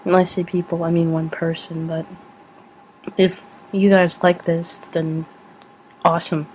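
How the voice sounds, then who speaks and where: A woman's voice: lowish voice, flat delivery, unhurried, a little mellow, a little hiss or hum, low-quality recording. A young woman vlogging at home, rambling casually with a giggle, sniffly from sneezing. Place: at home in front of a screen.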